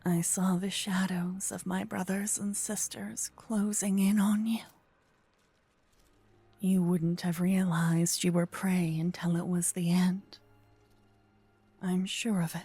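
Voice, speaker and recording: A woman playing a gentle but possessive vampire kidnapper in an ASMR roleplay, speaking softly and intimately with frequent whispering, close to a binaural mic. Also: fairly low pitch, level tone, no echo, soft background music, exaggerated voice. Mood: chiding, intense. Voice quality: soft, breathy, often whispering. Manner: slow, intimate, earnest, dramatic pauses. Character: gentle possessive female vampire kidnapper, self-proclaimed protector. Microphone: close binaural microphone, ear to ear.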